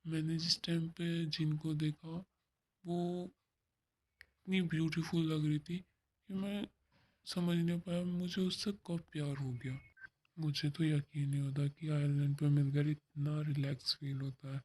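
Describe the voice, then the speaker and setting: A performer voicing a gentle young male businessman who speaks slowly and dreamily. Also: mid-range voice, level tone, husky, voice pushed down. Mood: dreamy.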